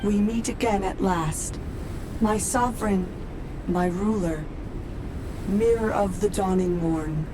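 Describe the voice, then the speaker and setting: A woman's voice: takes it slowly, long pauses, close to an everyday voice. A woman playing a reverent, ancient devotee in a game cutscene, speaking with solemn ceremony. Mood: reverent, fulfilled. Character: solemn, reverent devotee weary after millennia. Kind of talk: dubbed video game cutscene acting. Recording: loud rumbling noise over voice.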